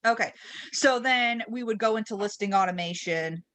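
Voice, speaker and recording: A woman's voice: fairly high pitch, moderate pace, a bit bright. A woman training people in an informal, conversational screen-share webinar, explaining each step and posing check-in questions as she goes. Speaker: trainer leading a software webinar. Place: online webinar.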